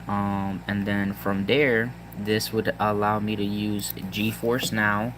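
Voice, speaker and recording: A man with a Puerto Rican accent chatting casually to his viewers about a handheld gaming device, halting with lots of ums and restarts. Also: fairly low pitch, little pitch movement, noisy background. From Puerto Rico. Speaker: tech vlogger. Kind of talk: casual rambling tech talk to viewers.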